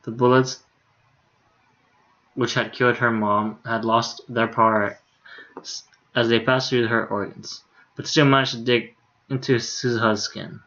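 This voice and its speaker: A man's voice: medium pitch, fairly even intonation, unhurried, long pauses, a bit bright. Man reading aloud, slightly dull audio. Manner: casual, stumbles over words, swears in asides. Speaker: game streamer. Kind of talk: reading a visual novel aloud on a livestream.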